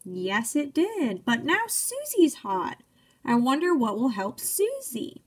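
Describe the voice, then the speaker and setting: High, lively young woman reading to children. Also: slow pace, husky. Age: young adult. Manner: encouraging, simple child-directed phrasing. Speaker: teacher reading aloud to children. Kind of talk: children's picture-book read-aloud with questions to listeners.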